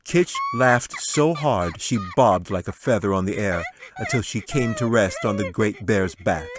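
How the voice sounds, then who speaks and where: A man's voice: on the low side, expressive, measured pace, background noise. A man narrating a fantasy audiobook in a dramatic, scripted storytelling manner. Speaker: author reading his audiobook.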